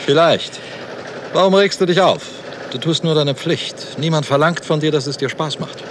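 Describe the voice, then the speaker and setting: A man acts a stern, dutiful policeman in a 1960s radio drama. His fairly high voice commands and reasons coldly. The old recording is clearly noisy. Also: moderate pace, natural voice. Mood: coldly reasoning. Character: stern, matter-of-fact male police official.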